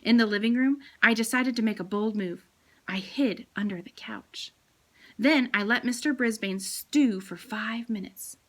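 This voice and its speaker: A woman's voice: a little high, a little slow, fairly bright tone. A woman voicing a small, eager male pet hamster who tells his adventures in a playful storybook manner. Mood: sly, excited.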